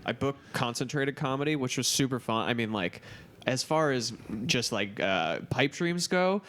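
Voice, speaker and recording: A man with an American accent and an average-pitched voice, chatting loosely among friends. He is from the East Coast and speaks bluntly, in a casual, unscripted way. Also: lively intonation, moderate pace, fairly bright tone. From East Coast US, born in Boston. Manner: blunt, profane. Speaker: podcast guest, comedy show booker. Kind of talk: casual chat.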